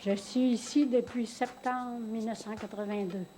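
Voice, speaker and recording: A woman's voice: fairly high pitch, steady tone, normal speed, mellow. A woman, a nun from Quebec, introducing herself in an interview. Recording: faint background noise.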